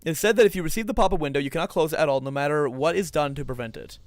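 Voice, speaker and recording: A young man hosting a livestream, reading aloud and reacting in an excitable, theatrical, jokey way. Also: fairly high pitch, racing, slight background noise. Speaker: livestream host.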